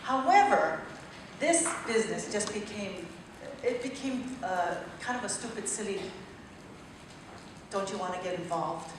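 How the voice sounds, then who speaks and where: A woman from Maui giving an energetic testimonial speech to a crowd at a business event. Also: medium pitch, unhurried, long pauses. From Maui, Hawaii. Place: large event room. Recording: echoey room from far off, light noise.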